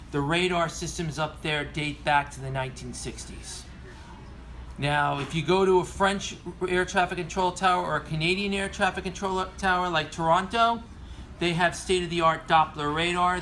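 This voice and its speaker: A man's voice: on the high side, fairly bright tone, slight background noise. A middle-aged man presenting earnest aviation commentary, partly read from prepared notes, occasionally stumbling over his words. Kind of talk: prepared commentary read aloud with informal asides.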